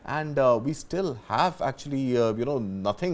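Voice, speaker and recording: A man's voice: mid-range voice, animated, moderate pace. A man with an Indian accent giving unscripted commentary as a news panelist, hedging often as he goes.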